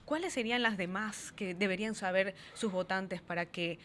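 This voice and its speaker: A woman's voice: mid-range voice, normal speed, a bit bright, slight background noise. A woman co-hosting a radio interview, asking a formal question. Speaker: radio co-host.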